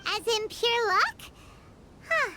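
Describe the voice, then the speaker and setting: A woman voicing a tiny, chirpy, cheeky fairy companion in a video game, squeaky and childlike. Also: expressive, slow pace, sharp tone, a little hiss or hum, exaggerated voice. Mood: unimpressed. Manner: squeaky, childlike, speaks of herself in third person. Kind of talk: cute video game voice acting.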